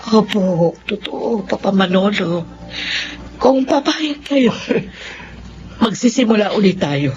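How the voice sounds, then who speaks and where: An emotional mother in a Filipino radio drama. Her middle-aged voice rises and falls widely. The recording is dull and lo-fi, with music clearly playing behind her. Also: mid-range voice, lively intonation, slow, long pauses. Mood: gentle, forgiving. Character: Lourdes, emotional Filipino mother of grown children. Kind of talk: Philippine radio drama acting.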